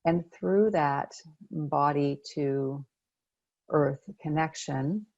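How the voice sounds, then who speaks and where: A woman leading a guided meditation as a coach, speaking gently and unhurriedly with pauses between phrases. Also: sits low, vocal fry, no echo, muffled recording.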